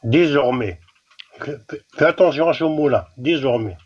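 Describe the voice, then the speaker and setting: A man's voice: mid-range voice, unhurried, breathy edge, lo-fi sound. A man from Algeria with a Kabyle accent, whose first language is Kabyle, arguing insistently in a live debate and often restarting his words. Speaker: debate guest.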